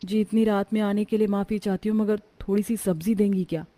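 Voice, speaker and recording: A woman's voice: medium pitch, flat delivery, a little fast, dark tone, no echo, lightly acted. A woman voicing a poor, dutiful young daughter-in-law in a melodramatic story, earnest and pleading. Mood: polite, pleading. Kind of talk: melodramatic Hindi moral story acting.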